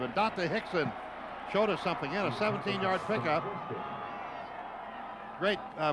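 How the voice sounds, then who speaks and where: An American man doing live radio football play-by-play in clipped phrases, calling the action as it happens. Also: sits high. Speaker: play-by-play announcer. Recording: radio broadcast over clear stadium noise. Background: stadium noise.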